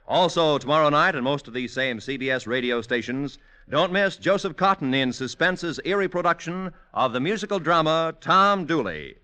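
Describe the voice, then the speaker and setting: A man's voice: on the high side, expressive, normal speed, pushed and bright, clean tone. A man reading scripted announcements in a 1950s network radio announcer style. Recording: vintage radio broadcast. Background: music.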